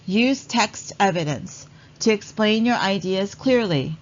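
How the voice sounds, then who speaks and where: A woman narrating a read-along lesson for children in a deliberate, instructional way. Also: medium pitch, expressive, lo-fi sound.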